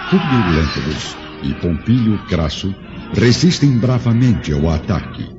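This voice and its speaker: A man with a deep voice narrates a radio play solemnly. His delivery is literary and dramatic, with sweeping intonation. Clear music plays behind his slightly dull recording. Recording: muffled sound under music.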